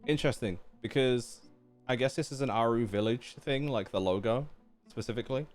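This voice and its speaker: Slangy male game streamer, middling pitch. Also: brisk, darkish tone. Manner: slangy, jokey asides. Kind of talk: casual live reaction commentary. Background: faint game music.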